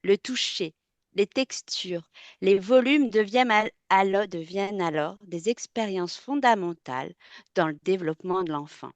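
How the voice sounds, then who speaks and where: A woman from France gives a webinar talk as a textile artist, at a middle pitch. She speaks thoughtfully, often pausing on fillers and restarting words. The sound is dry and close to the mic, with no room around it. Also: no room sound. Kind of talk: webinar presentation.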